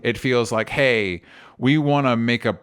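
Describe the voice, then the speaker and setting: A man's voice: medium pitch, unhurried, clear, resonant tone. A man with an American accent chatting casually and informally about entertainment news as a podcast co-host, often qualifying what he says. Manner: informal, hedging explanations.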